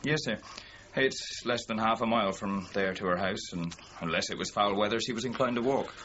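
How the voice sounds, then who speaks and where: A man plays a British police subordinate in a radio crime drama. He reports dutifully and plainly in a fairly low voice. The sound is slightly dull, with a faint noise underneath. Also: brisk, fairly bright tone, lightly acted. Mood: matter-of-fact. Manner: deferential, matter-of-fact. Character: male police subordinate, deferential, matter-of-fact reporter. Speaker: police officer reporting to his chief.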